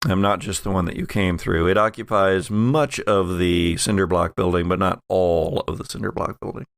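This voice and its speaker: Low, creaky man running a tabletop game. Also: steady tone, no echo, studio quality. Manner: halting, pieces descriptions together as he goes. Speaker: game master. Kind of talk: improvised tabletop game narration.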